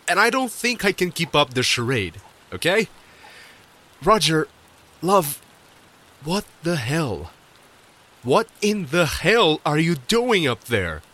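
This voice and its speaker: A exasperated, loving husband in an audio drama. His voice sits at a medium pitch and swings widely as he speaks. He takes his time, in halting, emphatic, informal phrases. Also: a bit bright, heavily acted. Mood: furious. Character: exasperated, loving husband left waiting on Earth. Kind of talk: audio drama monologue as a dictated message.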